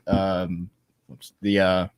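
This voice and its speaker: Low, laid-back man chatting about movies. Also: little pitch movement, slow, smooth, no echo. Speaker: film review panelist. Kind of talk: casual chat.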